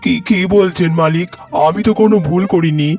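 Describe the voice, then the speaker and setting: A man's voice: high voice, a little fast, darkish tone. A man playing an earnest, worried poor labourer and husband in a melodramatic cartoon, with a pleading manner. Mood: dismayed. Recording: music behind the voice.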